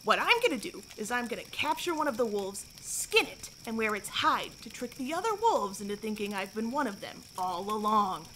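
A woman's voice: on the high side, very animated, moderate pace, few pauses, a bit bright, a little hiss or hum, low-quality recording. A woman playing a bloodthirsty, confident young boy scout in an audio drama. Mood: scheming.

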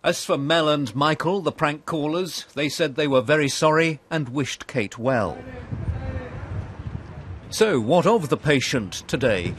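A man's voice: a little high, lively intonation, unhurried, a bit bright, slightly rough. A man reading a scripted news voice-over as a reporter.

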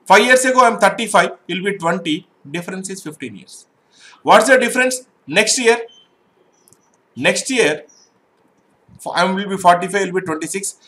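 A man's voice: high voice, animated, normal speed, long pauses, bright, forceful tone. A middle-aged man teaching an exam-prep math lesson online, explaining step by step and often checking "right?" with his students.

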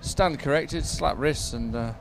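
A man's voice: on the high side, unhurried, vocal fry. A man chatting casually as a live sports co-commentator, jokey and self-deprecating.

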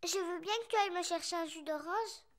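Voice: extremely high pitch, a little fast. A performer voicing a cheerful, bossy little girl piglet in a French cartoon dub. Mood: sweetly demanding. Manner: excitable, giggly.

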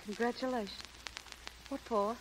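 A woman's voice: on the high side, steady tone, normal speed, lightly acted. Actress as a proud, lovesick 1930s showgirl. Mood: hurt, restrained. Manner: earnest, dramatic. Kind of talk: 1930s radio melodrama acting. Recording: faintly noisy 1930s radio broadcast.

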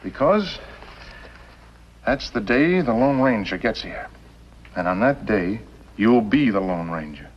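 An actor playing a bitter, cunning outlaw mastermind in a 1950s television western. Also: male voice, on the low side, sing-song, unhurried, long pauses. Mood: cold scheming. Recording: muffled, noisy old television soundtrack.

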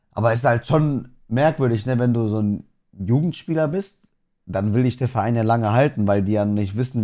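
A man's voice: lowish voice, dark tone. A man streaming live, making casual, skeptical comments to his chat while he watches a video.

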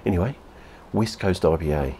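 A man's voice: low voice, animated, normal speed, mellow, slight background noise. A New Zealand man in his fifties reviewing beer at home on camera, blunt and sweary, repeating himself as he talks. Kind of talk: casual vlog review. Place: home room with TV behind.

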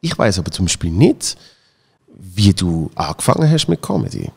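A man in his thirties with a Swiss German accent hosts a casual chat in a fairly low voice. He tells anecdotes with frequent restarts into a studio boom mic, and the room adds a long reverb tail. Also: sing-song, moderate pace, creaky, husky. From Switzerland. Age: 30s. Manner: chatty, anecdotal, restarts often. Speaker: podcast host. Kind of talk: casual podcast conversation. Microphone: boom-arm studio mic.

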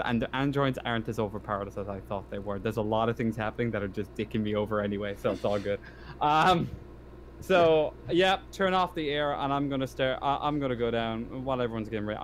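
A man talking casually as a player in an online tabletop roleplaying game. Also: fairly low pitch. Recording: noisy home voice-chat recording.

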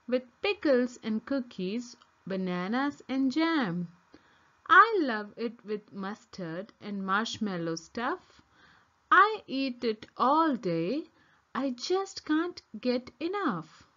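A woman voicing a stubborn, excitable female monkey from a children's storybook, with emphatic, sing-song repetition. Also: on the high side, muffled recording, heavily acted. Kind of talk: children's storybook read-aloud.

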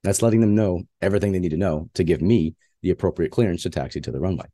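A man's voice: low voice, warm tone, no echo. A man who is a flight instructor, giving a plainspoken slide lecture on flying with frequent filler uhs.

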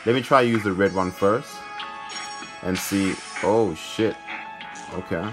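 A man's voice: deep voice, slow. A man hosting a gaming video, commentating casually and thinking aloud in an informal way. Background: game music.

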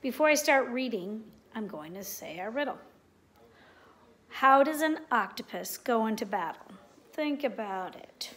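A woman's voice: a little high, expressive, a little slow, a bit bright. A middle-aged woman reading a storybook aloud for children in a living room. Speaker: storybook reader. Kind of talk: children's read-aloud narration. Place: living room at home, indoors.

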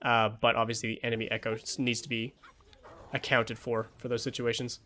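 A man's voice: mid-range voice, flat delivery, fairly quick, pushed and bright. Young man casually breaking down a match. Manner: analytical, thinking aloud with many fillers. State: voice getting tired after hours of streaming. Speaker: esports coach reviewing a match. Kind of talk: casual match review commentary.

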